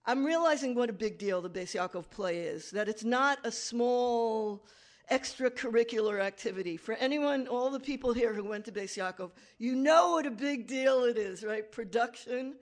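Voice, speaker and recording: A woman's voice: fairly high pitch, moderate pace. A woman lecturer giving a conversational academic talk with slides, correcting herself and making asides to the audience.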